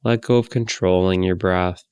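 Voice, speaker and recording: A man leading a guided meditation in a gentle, unhurried way, leaving long pauses between phrases. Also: low-pitched, fairly even intonation, clear, resonant tone, no echo. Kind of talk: guided meditation, soothing instruction.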